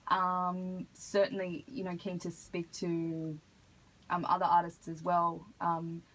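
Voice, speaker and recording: Husky, low-voiced Australian woman in interview. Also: completely flat delivery, slow pace, fairly bright tone, poor audio. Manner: informal, conversational storytelling. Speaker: interviewed hip hop artist. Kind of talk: casual radio interview chat.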